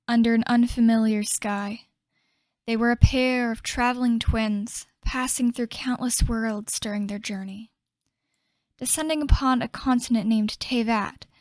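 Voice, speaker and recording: A woman playing a solemn storyteller, narrating a fantasy game legend in a measured way. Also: a little high, darkish tone, no echo, lightly acted.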